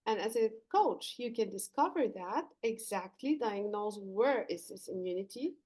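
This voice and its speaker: A woman's voice: mid-range voice, expressive, moderate pace, darkish tone. A woman from Lebanon, a leadership coach, earnestly explaining her work as a podcast guest. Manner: earnest, explanatory, some fillers and restarts. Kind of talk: podcast interview answers.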